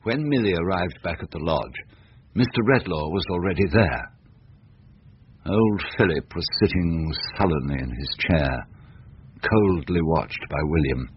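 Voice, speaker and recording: A man's voice: low voice, animated, unhurried, slightly rough. A man narrating a scripted story in old-time radio drama style. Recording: muffled, noisy old radio recording.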